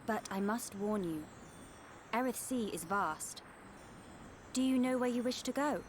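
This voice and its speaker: A noble young guide in a game cutscene. Her voice is on the high side, with measured, gracious, highly formal phrasing and an earnest poise. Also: expressive, slight background noise. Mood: earnest, grave. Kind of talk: video game cutscene acting.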